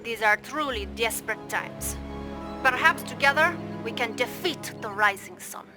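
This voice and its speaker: An actress plays a weary female commander who speaks in a formal, grave way. Her voice sits a bit high. Clear music runs beneath. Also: animated, normal speed, natural voice.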